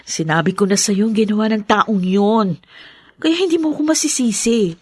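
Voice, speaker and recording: A woman's voice: mid-range voice, normal speed, slightly rough, no room sound, close to an everyday voice. An actress playing a pregnant young wife in a radio drama, earnest and emotional in her delivery. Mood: resentful. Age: young adult. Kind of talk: Tagalog radio drama acting.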